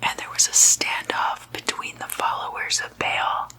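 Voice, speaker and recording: Slow whispering ASMR woman. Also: bright, forceful tone, poor audio. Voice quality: breathy unvoiced whisper. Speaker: ASMR video host. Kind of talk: ASMR, whispered informal talk.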